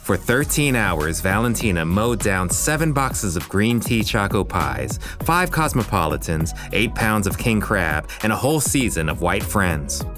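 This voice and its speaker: Low-pitched male storyteller, animated delivery. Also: unhurried, hardly any pauses, music in the background. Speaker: narrator. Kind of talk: scripted romcom audio drama narration.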